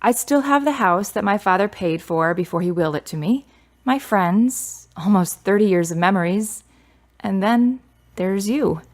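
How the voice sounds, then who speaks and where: A woman's voice: medium pitch, expressive, moderate pace, natural voice. A woman playing a candid, reflective, grieving daughter of about thirty in a radio drama. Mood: earnest, reflective.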